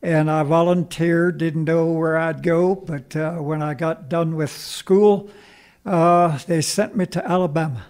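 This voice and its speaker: Older man, 80s, Pennsylvania Dutch accent, slow folksy radio guest. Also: a little high, steady tone, a little mellow. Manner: folksy, chuckles often. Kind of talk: casual reminiscing. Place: radio studio interview.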